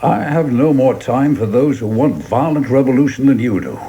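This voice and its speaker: Man playing earnest, halting official with swooping pitch. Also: expressive, dark tone, highs cut off, close to an everyday voice. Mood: troubled, earnest. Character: principled, troubled male cabinet secretary. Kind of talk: radio play acting. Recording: clearly noisy background ambience.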